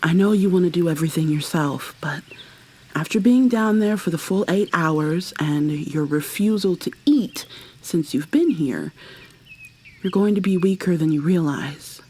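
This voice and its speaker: A woman playing a tender, possessive kidnapper in an ASMR roleplay, speaking softly and haltingly, often slipping into a breathy whisper as she coaxes. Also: fairly low pitch, animated, a little hiss or hum. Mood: gently firm. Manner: coaxing, gentle, halting. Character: tender, possessive female kidnapper doting on her captive lover.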